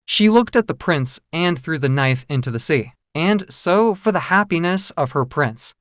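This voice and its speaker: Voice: mid-range voice, lively intonation, normal speed, no echo, clean studio sound. A storyteller narrating a children's fairy tale from a script.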